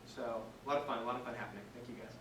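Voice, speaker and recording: Relaxed joking young man, distant mic. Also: mid-range voice, very fast. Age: young adult. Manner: relaxed, joking, many fillers. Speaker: student panelist. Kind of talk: self-introduction on a panel. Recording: echoey room, far from the mic, noisy.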